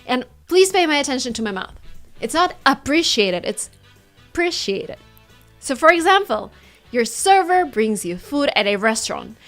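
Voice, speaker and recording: A woman with a Russian accent leads an online English lesson, with a voice that sits a little high. She acts out example phrases with big swings in intonation. Light background music plays under her voice. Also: normal speed. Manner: lively, demonstrating phrases with exaggerated intonation. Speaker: English teacher.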